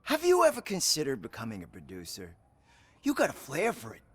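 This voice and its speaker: Cocky tv star in a game, sneery and theatrical, voice jumps all over. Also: male voice, mid-range voice, wildly swooping pitch, fairly quick, a bit bright, husky, heavily acted. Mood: sly. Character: arrogant, foul-mouthed TV star, irritable and smug. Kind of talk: video game cutscene acting.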